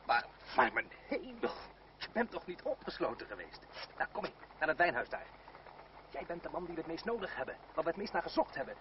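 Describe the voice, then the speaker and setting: An actor playing a hearty, excitable gentleman in a theatrical radio drama, effusive and full of exclamations. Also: on the high side, fairly quick. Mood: astonished, hearty. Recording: dull old radio recording, noisy background.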